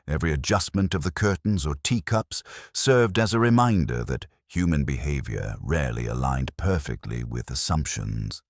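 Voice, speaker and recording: Male story narrator, extremely deep, animated pitch movement, formal delivery, dry close sound. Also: dry recording. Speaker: audiobook narrator. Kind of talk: scripted mystery story narration.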